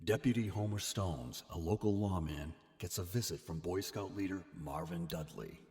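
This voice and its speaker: Man as a deep, ominous horror narrator. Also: moderate pace, darkish tone. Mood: grave, ominous. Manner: grave, portentous. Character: ominous male narrator of swamp monster tales. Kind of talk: scripted narration, horror radio drama.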